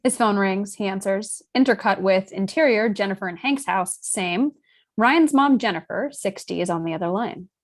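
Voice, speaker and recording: A woman reads stage directions for a screenplay table read. Her voice is mid-pitched, and she delivers scripted scene narration. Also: moderate pace.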